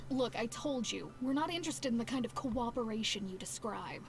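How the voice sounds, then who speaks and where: A woman's voice: on the high side, expressive, brisk. A woman playing a fed-up, businesslike guild receptionist in a video game, firm and dismissive. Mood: annoyed, firm.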